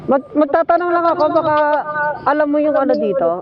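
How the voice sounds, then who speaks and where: A man's voice: very high-pitched, lively intonation, a little fast, a crowd in the background. A Filipino man chatting casually and teasingly as a motorcycle taxi rider vlogging on the road. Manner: playful, teasing. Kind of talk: casual joking chat. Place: outdoors, riding a motorcycle. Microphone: helmet intercom headset. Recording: dull helmet intercom, loud road noise. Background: vehicle and road noise.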